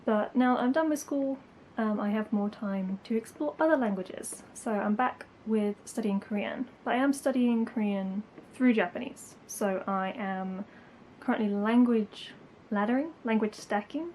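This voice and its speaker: A woman's voice: mid-range voice, darkish tone, a little hiss or hum, rough recording. A young woman from England, chatting casually to camera as a language-learning vlogger, full of likes and ums. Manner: chatty, lots of likes and ums, light laughs.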